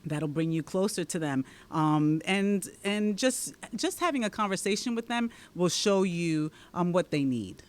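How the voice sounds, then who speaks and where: A woman with a Dominican accent, answering in a steady, explanatory way and often tagging her points with a questioning "right?". She speaks as a guest in a conversational interview. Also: lowish voice, a little slow, hardly any pauses. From Dominican Republic. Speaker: guest, township committeewoman and teacher.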